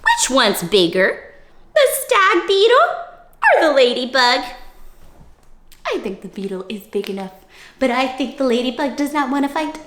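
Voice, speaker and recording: A woman reading a picture book aloud to young children, animated and coaxing, pausing to ask them simple questions. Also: high voice, reverberant. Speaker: children's storytime reader.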